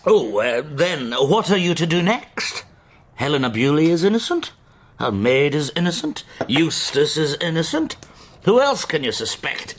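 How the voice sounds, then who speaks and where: A man's voice: a little high, sing-song, measured pace. An actor playing an unhinged, lovelorn man sliding into raving madness, theatrical and rambling, in a period radio drama. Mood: agitated. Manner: theatrical, rambling, swooping between whispers and cries.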